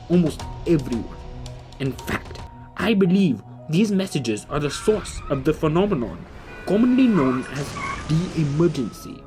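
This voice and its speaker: Man playing a lively, formal, learned gentleman. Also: on the high side. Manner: formal, wordy, explanatory. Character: kindly, learned, verbose gentleman leading a group of children. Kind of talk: audio drama dialogue and narration.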